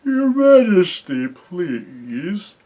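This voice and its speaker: Narrator as sleepy elder bear, thick husky voice, slow, courteous, stubborn, storybook creature. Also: mid-range voice, very animated, warm tone, voice pushed up, heavily acted. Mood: sleepy, polite. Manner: slow, drawn-out delivery.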